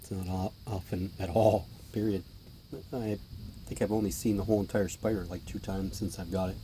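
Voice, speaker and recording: Hoarse, low-voiced man filming his spiders. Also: flat delivery, brisk, slight background noise, rough recording. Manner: chatty, offhand, drops to a hush while watching. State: hoarse, clearing his throat. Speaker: tarantula keeper filming feedings. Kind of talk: casual hobby vlog narration.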